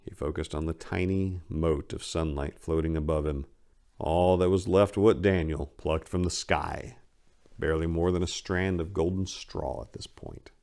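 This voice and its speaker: A man narrating a dark horror story as a dramatic reading, with theatrical, intense and deliberate phrasing. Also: deep voice, vocal fry.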